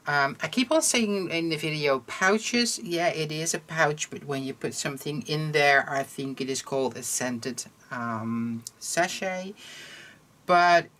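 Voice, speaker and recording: Slow, low-voiced Dutch woman teaching crochet. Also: fairly bright tone. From Netherlands. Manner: hesitant, many ums while searching for English words. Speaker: crochet tutorial host. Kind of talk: casual instructional talk. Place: indoors at a table, hands over crafts.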